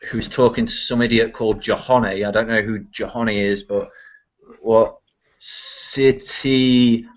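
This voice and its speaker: A man's voice: fairly even intonation, slow pace. A young man from England with a Manchester accent, telling a long travel story in casual, rambling, swearing chat. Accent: Manchester English. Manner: rambling and laughing, swears freely. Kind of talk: casual chat on a live stream. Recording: muffled low-fidelity stream audio.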